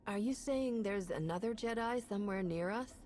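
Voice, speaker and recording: A woman's voice: medium pitch, normal speed, darkish tone, faint music behind, natural voice. An actress playing an earnest, principled woman hero who speaks directly.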